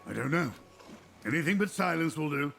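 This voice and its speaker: A hot-tempered game warrior who serves his god with fierce devotion. His voice is rough and gravelly, with big swings in pitch and a declamatory, blustering manner. Prominent game music plays underneath. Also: expressive, a little fast. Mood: grim, low. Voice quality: deep, gravelly. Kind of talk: fantasy video game voice acting. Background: loud game music.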